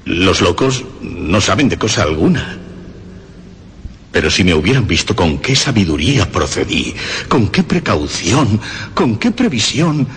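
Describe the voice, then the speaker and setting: An actor playing a nervous, obsessive murderer who insists he is sane, in an agitated, emphatic radio horror monologue with halting repetitions. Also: on the low side, very animated, a bit bright. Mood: boastful, feverish. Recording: long reverb, faint background noise.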